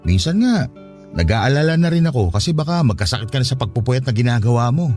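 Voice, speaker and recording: A man acting as a hardworking young farm laborer and protective big brother in a radio drama. He talks quickly and earnestly, at a middling pitch. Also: very animated, darkish tone, faint music behind, lightly acted. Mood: tender, concerned. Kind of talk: Tagalog radio drama dialogue.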